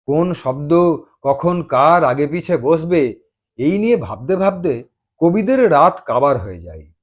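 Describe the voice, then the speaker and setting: A man's voice: fairly high pitch, very animated, a little slow. A man narrating a love story as a dramatised audiobook reading, telling it expressively.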